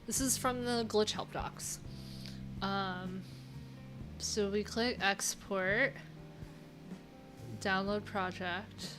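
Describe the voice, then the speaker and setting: A woman's voice: medium pitch, steady tone, very slow, long pauses. A woman in her thirties with an American accent, chatting casually as a livestream host in a rambling, wry, informal way. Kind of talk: casual livestream chat while reading docs. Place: small cluttered room indoors. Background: background music.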